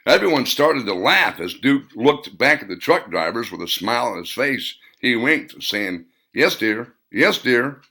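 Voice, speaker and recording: A man reading his own novel aloud as an audiobook narrator, in a measured delivery that slows on key phrases. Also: mid-range voice, lively intonation, bright, forceful tone.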